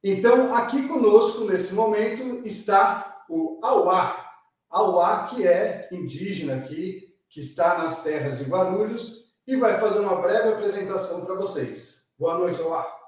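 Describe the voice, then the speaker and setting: Male Brazilian talk-show host, higher voice, slow and formal, heard from off-mic in a very echoey room. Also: distant mic. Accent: Brazilian Portuguese. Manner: slow, formal announcer delivery. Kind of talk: studio talk show presenting.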